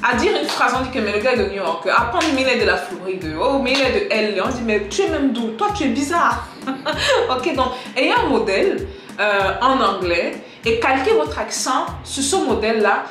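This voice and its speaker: A woman giving a casual spoken lesson to camera, lively and direct, talking straight to her viewers. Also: fairly high pitch, moderate pace. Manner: lively, direct, addresses viewers as "les gars"; switches into English example sentences. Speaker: English teacher on a video channel. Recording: echoey room with faint music.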